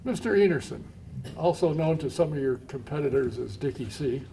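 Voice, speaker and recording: A man hosts a radio show, asking his guests questions chattily and chuckling often. His voice is gravelly and mellow, a bit higher than most men's. He sits away from the mic in a pub with some noise around him. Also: lively intonation, a little fast. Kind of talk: casual interview. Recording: distant mic in a noisy pub.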